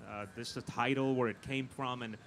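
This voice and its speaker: A man's voice: mid-range voice, steady tone, normal speed, a bit bright. A man answering as a film producer on a panel, conversational and emphatic, repeating words as he makes his points. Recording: live event floor with background noise.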